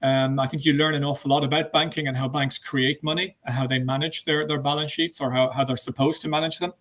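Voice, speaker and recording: A man's voice: a little high, little pitch movement, fast talker, fairly bright tone. An older man with an Irish accent, speaking as a guest in a casual interview with hesitant, meandering phrasing. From Ireland. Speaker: interview guest, banking executive.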